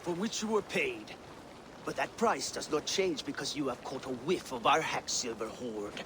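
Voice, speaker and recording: An actor playing a commanding, dry-witted Viking war leader in a video game cutscene, blunt and authoritative. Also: male voice, mid-range voice, moderate pace, noisy background, natural voice. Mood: dry disdain.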